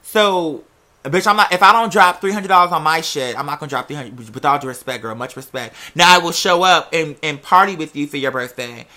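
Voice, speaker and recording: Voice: medium pitch, fairly quick, sharp tone. A young adult vlogger telling personal stories in a casual, rambling way, with sassy, emphatic, profanity-laced slang. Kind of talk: casual rambling storytelling to camera or mic.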